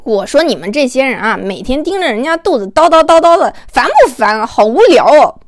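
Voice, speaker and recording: An exasperated online commenter, acted by a woman audiobook reader, scoffing and dismissive. The voice is animated and dry, with snappy retorts. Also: sits high, brisk, no echo. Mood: annoyed. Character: exasperated, scoffing internet commenters defending a star.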